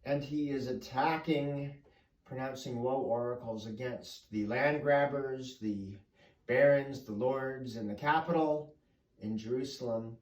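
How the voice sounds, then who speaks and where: A man lecturing as a professor, explaining deliberately with emphatic phrasing and pauses. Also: medium pitch. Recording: echoey room, distant microphone.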